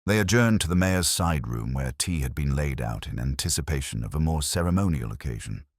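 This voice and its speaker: A man narrating a mystery story in a measured, storytelling manner. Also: a bass voice, animated, no echo, studio quality.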